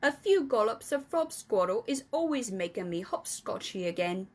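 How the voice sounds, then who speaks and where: Woman reader as a big, kindly storybook giant: muddled grammar, made-up words, soft and often whispery. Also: fairly high pitch, big pitch swings, moderate pace. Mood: gentle. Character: big friendly male giant, gentle, odd grammar.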